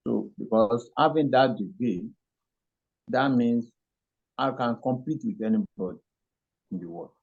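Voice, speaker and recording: A Nigerian man giving an interview, answering haltingly with many fillers and restarts. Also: mid-range voice, gravelly, a little mellow. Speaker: interview guest. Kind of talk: hesitant conversational answers. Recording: dull lo-fi audio.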